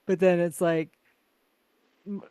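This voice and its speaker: A woman's voice: fairly low pitch, level tone, slow pace, dark tone, smooth, dry recording. A woman hosting a podcast from Canada, chatty and laughing often, full of "like" and "right?". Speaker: podcast host. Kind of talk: casual conversation.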